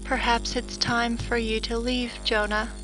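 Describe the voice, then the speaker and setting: Actress as devoted synthetic human woman, high-pitched, earnest, solemn, grave, sci-fi audio drama, music behind. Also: little pitch movement, measured pace, lightly acted. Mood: tender, sorrowful.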